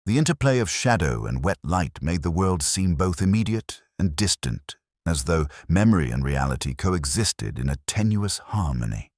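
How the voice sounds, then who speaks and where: A man playing a meticulous, reflective detective, narrating his mystery in measured, deliberate, formal literary phrasing. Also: low voice, big pitch swings, no echo, studio quality. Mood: brooding, reflective. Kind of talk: scripted first-person mystery narration.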